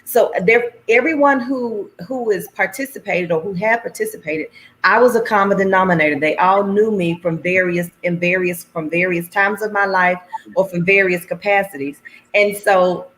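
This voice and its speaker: A middle-aged woman chatting on a livestream, telling stories with animated, folksy phrasing. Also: mid-range voice, moderate pace, rough recording. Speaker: anthology organizer and guest. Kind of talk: casual conversation on a livestream.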